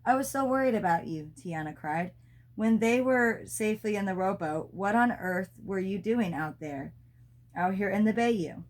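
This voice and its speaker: A woman's voice: mid-range voice, lively intonation, moderate pace. A woman reading a children's storybook aloud expressively, as a mother does with her child.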